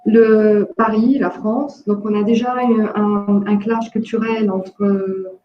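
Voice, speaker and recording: A woman from Jordan with no accent, speaking haltingly with many fillers and restarts in an online discussion workshop. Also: medium pitch, little pitch movement, slight background noise. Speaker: workshop participant, entrepreneur. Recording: echoey, dull lo-fi call audio.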